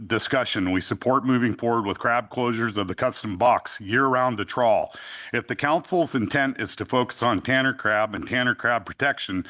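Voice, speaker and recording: Loud bright-voiced guy testifying at a council podium, reading his notes slow and deliberate. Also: mid-range voice. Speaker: fishing association representative. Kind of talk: public testimony. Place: council meeting room. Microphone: podium microphone.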